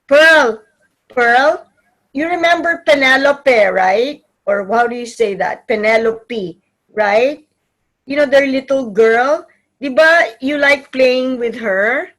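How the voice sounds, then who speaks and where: A woman acting a gentle, placating wife and mother in a staged play reading. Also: high-pitched, slow, heavily acted, poor audio. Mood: cheerful, coaxing. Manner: soothing, hesitant.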